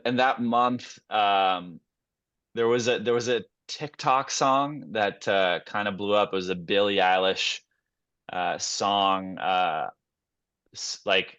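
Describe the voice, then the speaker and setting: A young man with a lowish voice tells stories in an easygoing, rambling way on a podcast, full of fillers. The sound is dry and a little dull, as if from a remote call. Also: slow pace, smooth, no echo. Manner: relaxed storyteller, many fillers and restarts. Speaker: podcast guest, actor. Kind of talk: casual podcast chat.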